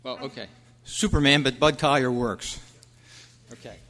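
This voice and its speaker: A man's voice: medium pitch, moderate pace, a bit bright. Man hosting trivia in an echoey hall. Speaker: trivia quiz host at a club meeting. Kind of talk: reading quiz questions aloud to a live audience. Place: indoor meeting room at a convention. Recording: echoey hall, long reverb.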